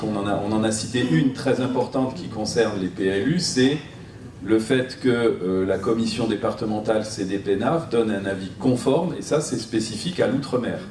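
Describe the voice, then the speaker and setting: A man answering as a planning expert at a seminar, explaining off the cuff with a hesitant, halting delivery. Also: fairly low pitch, a little mellow. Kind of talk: spontaneous public explanation. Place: seminar room, recorded from a distance. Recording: roomy, distant mic, faint noise.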